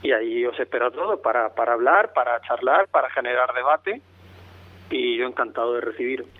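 Male author, Murcian accent softened by Andalusia, phone-line guest, restarts a lot. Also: medium pitch, lively intonation, brisk. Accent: mixed Murcian and Andalusian Spanish. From Lorca, Murcia, Spain. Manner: thoughtful, many restarts and repeated words. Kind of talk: radio interview over the phone.

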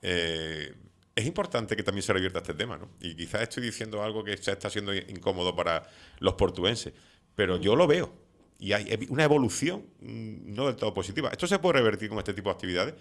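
A man's voice: on the low side, expressive, brisk. A middle-aged man who is not from the islands, hosting an interview in a talkative, opinionated way with many fillers. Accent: non-Canarian Spanish. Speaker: radio show host. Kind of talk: conversational interview.

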